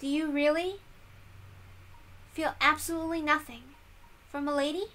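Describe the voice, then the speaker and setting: A woman's voice: high-pitched, fairly even intonation, normal speed, sharp tone, voice pushed up. A woman voicing an earnest, insistent young female servant with a big-sisterly air, in a visual novel reading. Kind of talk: visual novel read-aloud character voice.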